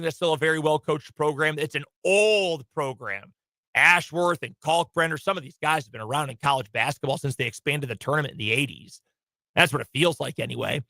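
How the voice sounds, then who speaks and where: A man hosting sports talk radio, giving opinionated, conversational commentary in a hoarse voice from a studio booth. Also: fairly high pitch, sharp tone, dry recording. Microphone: close studio microphone.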